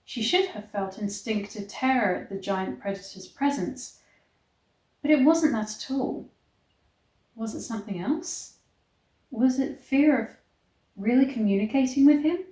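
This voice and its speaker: A woman's voice: a little high, lively intonation, a little slow, long pauses, warm tone. Echoey woman reading a story dramatically. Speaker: host reading a story aloud. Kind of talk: dramatic read-aloud of a romance story. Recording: echoey, roomy sound.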